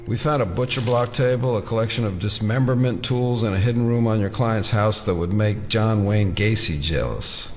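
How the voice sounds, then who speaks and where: An actor playing a skeptical, probing federal agent with a dry, wry manner in an audio drama. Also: male voice, fairly low pitch, moderate pace, few pauses, faint music behind, natural voice. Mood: pressing.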